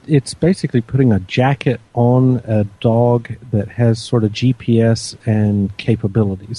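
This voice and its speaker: A man's voice: mid-range voice, dark tone, breathy edge, dry recording, low-quality recording. A man on a podcast panel, thoughtfully explaining his ideas step by step in casual chat.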